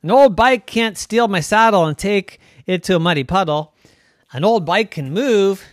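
A bedtime storyteller voicing Wanda, a clumsy, cheerful would-be girl knight who squeals in high dismay. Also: expressive, moderate pace, no echo, clean studio sound, close to an everyday voice. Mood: excitable.

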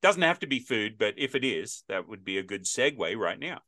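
A man's voice: lowish voice, very animated, a little fast, sharp tone. A man in his fifties hosting a podcast interview, chatting in a rambling, storytelling way with frequent restarts. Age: 50s. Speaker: podcast host. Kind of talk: casual interview chat.

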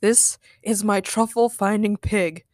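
A woman voicing a proud, excitable male cop in a story, earnest and dramatic. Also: fairly high pitch, normal speed, no room sound, studio quality, close to an everyday voice.

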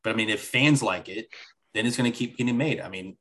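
A podcast co-host, a man with a somewhat low voice, chatting casually. He rambles through his thoughts and drops the occasional deadpan joke. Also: quick pace. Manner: rambling, with dry jokes. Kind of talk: casual chat.

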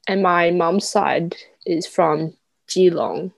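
A young woman, a guest telling stories to a friend in an animated, mock-dramatic way. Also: fairly low pitch, takes it slowly, vocal fry, dry recording. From Taiwan, raised in New Zealand from age seven. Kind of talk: casual chat with a friend.

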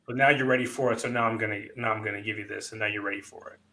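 A man's voice: fairly low pitch, steady tone, rapid-fire, bright, forceful tone, slight background noise, rough recording. A man in his fifties hosting a casual podcast chat, rambling and profane, with lots of fillers. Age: 50s. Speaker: podcast host.

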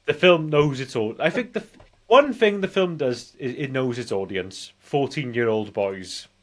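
A man in his forties with a British accent and a medium-pitched voice chats as a film podcast co-host. He talks emphatically, circling back and repeating phrases to drive his points home. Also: animated, normal speed. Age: 40s. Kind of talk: casual film discussion.

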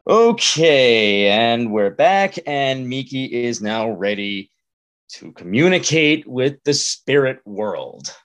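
A man hosting a comic podcast as the polite, halting straight man. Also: medium pitch, sing-song, a bit bright, no room sound. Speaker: podcast host. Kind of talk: comic interview in an audio play.

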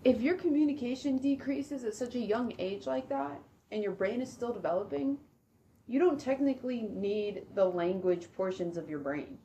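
A woman's voice: on the high side, moderate pace, dark tone, highs cut off, a little hiss or hum. A woman chatting as a guest on a casual podcast with friends, curious, asking questions and laughing easily. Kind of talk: casual chat among friends.

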